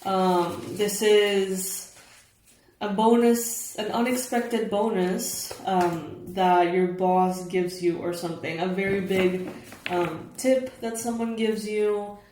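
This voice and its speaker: A young woman reads tarot for her viewers in a hoarse, raspy morning voice. She chats casually, with fillers and the odd soft laugh. The room is echoey with a faint hiss. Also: mid-range voice, steady tone, slow pace. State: hoarse, just woke up. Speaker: tarot reader, channel host.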